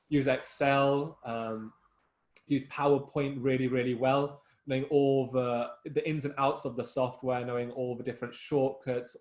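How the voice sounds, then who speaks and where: A young man talks earnestly to school pupils, often restarting his sentences and filling pauses with "um". His voice sits in a middle register. The recording carries a noticeable room echo. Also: mid-range voice. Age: young adult. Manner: earnest, many fillers and restarts. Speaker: student giving advice talk. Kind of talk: informal talk to school students.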